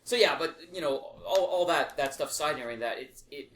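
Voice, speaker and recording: A man's voice: a little high, expressive, quick pace, a bit bright. Man podcasting in an echoey room. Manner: opinionated, explanatory, casually profane. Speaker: podcast co-host. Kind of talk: casual podcast discussion. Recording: echoey room with faint background noise.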